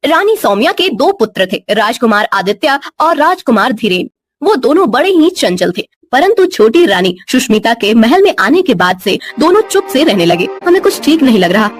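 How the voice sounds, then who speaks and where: Female storyteller for bedtime tales: high voice, lively intonation, faint music bed. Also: moderate pace. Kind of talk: scripted bedtime story narration.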